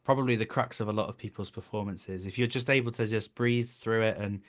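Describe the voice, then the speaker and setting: A man's voice: lowish voice, a little fast. A man in his thirties speaking as a voice acting coach in a conversational interview, explaining things earnestly. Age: 30s. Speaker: voice actor, director and coach.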